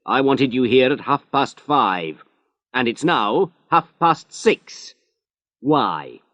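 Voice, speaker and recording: Man doing a big stern police inspector, loud scolding, sarcastic, slow drawn-out words. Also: medium pitch, lively intonation, dry recording, dull audio, pitched above the performer's own voice. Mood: angry.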